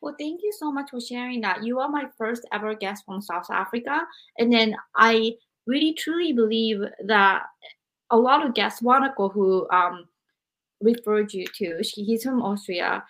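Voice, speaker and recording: A woman's voice: fairly high pitch, normal speed, a bit bright, low-quality recording. A woman in her forties from Japan, hosting a podcast interview and reflecting aloud, often saying "like" and "you know". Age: 40s. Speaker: podcast host. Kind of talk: interview conversation.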